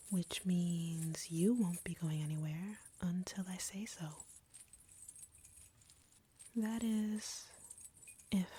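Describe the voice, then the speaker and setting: A woman playing an arrogant, cruel, flirty female vampire captor in an audio roleplay, taunting in an intimate, breathy, husky whisper. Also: on the low side, warm tone, full character voice. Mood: taunting, bored. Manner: taunting, slow, deliberate, drawling.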